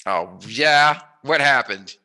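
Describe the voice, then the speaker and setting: A man's voice: mid-range voice, expressive, slow, sharp tone. A middle-aged man chatting casually as an interviewer, telling memories in a jokey, emphatic way. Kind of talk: casual reminiscing interview chat.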